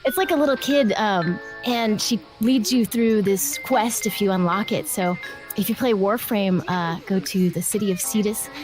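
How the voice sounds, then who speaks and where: A woman speaking as a guest voice actor in a casual interview, with animated, youthful, slangy talk. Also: medium pitch, normal speed, music in the background, poor audio.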